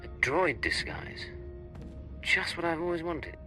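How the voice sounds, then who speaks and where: A man's voice: fairly high pitch, very animated, measured pace, sharp tone, faint music behind, heavily acted. A man playing a hardened secret agent in a scripted game, terse and dry-witted. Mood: dry sarcasm. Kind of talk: scripted game cutscene acting.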